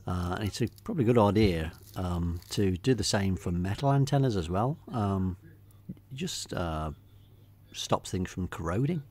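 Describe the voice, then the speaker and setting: A middle-aged man with an English accent giving a casual, unscripted voice-over walkthrough, hesitating and pausing often. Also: sits low, lively intonation, darkish tone, slight background noise. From England. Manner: hesitant, unscripted delivery with many pauses. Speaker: hobbyist video narrator.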